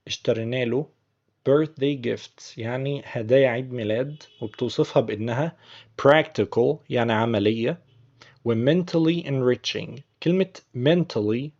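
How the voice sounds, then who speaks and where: Egyptian-accented man teaching English, mellow. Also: medium pitch, lo-fi sound. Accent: Egyptian Arabic. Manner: patient, explains word by word. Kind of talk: explaining English phrases in Arabic.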